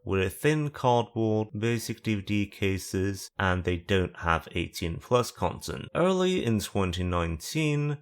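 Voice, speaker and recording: A man narrating a scripted video essay in a dry, sarcastic manner. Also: fairly low pitch.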